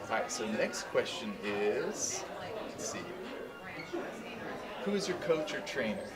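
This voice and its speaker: A man interviewing a competitor in relaxed, friendly fashion, reading out questions and giving short reactions. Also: mid-range voice, slow pace. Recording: loud music playing behind.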